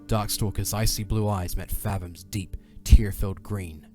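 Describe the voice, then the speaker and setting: Casting: male narrator, very low voice, solemn and measured dramatic storytelling over prominent music. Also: fairly even intonation, darkish tone.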